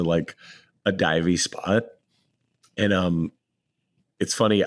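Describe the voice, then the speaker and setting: A hoarse man co-hosting a podcast, telling animated stories in casual chat and laughing often. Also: deep voice, no room sound. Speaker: podcast co-host.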